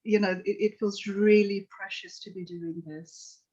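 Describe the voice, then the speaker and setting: A woman's voice: mid-range voice, fairly even intonation, measured pace, darkish tone, husky. A middle-aged woman from Tanzania, a psychotherapist, telling her life story earnestly in an interview, with frequent restarts and "you know". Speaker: psychotherapist guest. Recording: dull lo-fi call audio.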